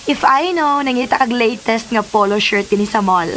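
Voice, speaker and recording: A woman's voice: fairly high pitch, moderate pace, a bit bright, slight background noise, lightly acted, rough recording. An actress playing a breezy, teasing young Filipino woman in a radio drama, chirpy and polite to her elders.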